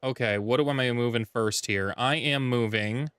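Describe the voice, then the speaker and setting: A man with a deep voice streaming a strategy game from home, chatting casually, thinking aloud and correcting himself as he plays. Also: moderate pace, fairly bright tone, clear, resonant tone, no room sound, clean studio sound. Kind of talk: casual gameplay livestream chat. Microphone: desk mic with noise gate.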